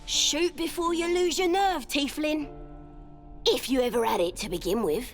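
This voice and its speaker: High-pitched taunting goblin fanatic voiced by a woman. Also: normal speed, faint music behind, lightly acted. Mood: defiant, taunting. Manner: taunting, zealous. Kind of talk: cinematic video game dialogue.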